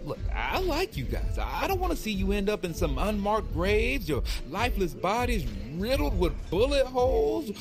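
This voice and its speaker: A sardonic club DJ in a comedy audio drama. His voice runs high and swings theatrically between deadpan and exasperation, with music behind. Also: moderate pace, hardly any pauses. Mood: exasperated. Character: exasperated, blunt strip club DJ with sardonic wit.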